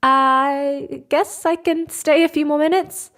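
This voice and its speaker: Slow, high-voiced, guarded woman. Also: fairly even intonation, a bit bright, faint music behind. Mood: polite, hesitant. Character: Nay, guarded grieving mother on a space mission. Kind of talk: sci-fi audio drama acting.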